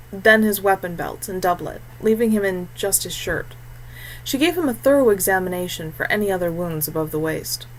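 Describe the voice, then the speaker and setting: A woman's voice: fairly low pitch, a bit bright, a little hiss or hum, poor audio. Female fantasy audiobook narrator. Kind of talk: scripted fantasy story narration.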